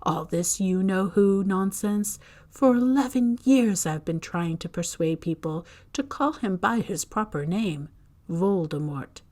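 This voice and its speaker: A woman voicing a calm, gently wry old male wizard headmaster in a storybook reading, speaking mildly and unhurriedly. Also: mid-range voice, unhurried, natural voice.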